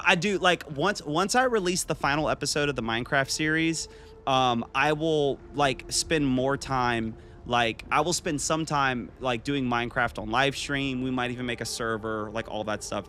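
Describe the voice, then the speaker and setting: A man's voice: mid-range voice, bright, forceful tone, a little hiss or hum. A man in his thirties chatting casually with viewers while playing a game on a live stream, upbeat and talkative. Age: 30s. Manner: chatty, upbeat, reads chat aloud and talks to viewers. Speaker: gaming streamer.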